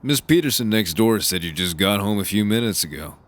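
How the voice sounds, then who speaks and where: A man's voice: fairly low pitch, lively intonation, a little fast, natural voice. An actor playing a joking, folksy father who teases his teenage daughter in a radio drama. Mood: teasing. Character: jovial teasing father of a teenage girl.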